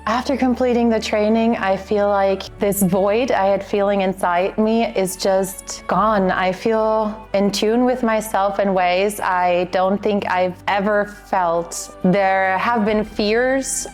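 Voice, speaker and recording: A woman's voice: mid-range voice, steady tone, slow pace, few pauses, darkish tone, faint music behind. Sincere woman from Germany. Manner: sincere, upbeat. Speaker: workshop participant. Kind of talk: testimonial interview.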